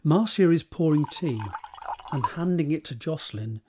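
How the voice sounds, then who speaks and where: A man's voice: a little high, lively intonation, normal speed, noisy background. A man narrating scripted stage directions for a drama.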